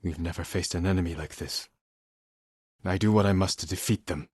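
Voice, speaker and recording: Low, husky stoic samurai. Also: male voice, no room sound, clean studio sound. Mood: hushed, grave. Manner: measured, solemn, clipped short sentences. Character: honourable, stoic samurai warrior, grimly resolute leader. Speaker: video game lead character. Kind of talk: dramatic video game voice acting.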